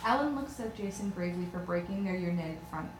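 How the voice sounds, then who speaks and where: Woman reading script directions, quick pace, echoey with noise behind. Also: on the low side, lively intonation. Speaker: reader of stage directions. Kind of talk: scripted narration. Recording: echoey room with clear noise.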